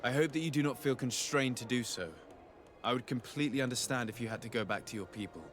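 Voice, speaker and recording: An actor playing a sincere, reserved young noble diplomat in a video game, speaking politely and formally. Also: male voice, medium pitch, quick pace, a bit bright, faint music behind, lightly acted. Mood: courteous. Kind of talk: video game dialogue acting.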